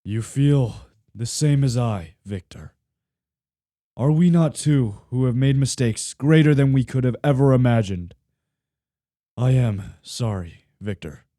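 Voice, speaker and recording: Radio play monster: male actor as remorseful creature made from corpses, deep mellow tone, slow deliberate formal speech. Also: expressive, natural voice. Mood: sorrowful. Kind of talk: theatrical radio play acting.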